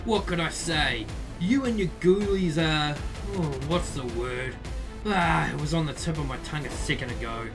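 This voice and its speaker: A man's voice: on the high side, moderate pace, natural voice. A man playing a cocky, sadistic teenage boy in a visual novel, with a taunting, theatrical delivery. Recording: music behind the voice. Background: music.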